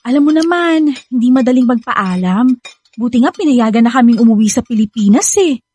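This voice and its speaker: A woman's voice: a little high, animated, a little fast, darkish tone, slightly rough. A woman playing a bubbly, chatty young adult daughter with a Filipino accent in a radio drama. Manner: gushing, playful, giggly.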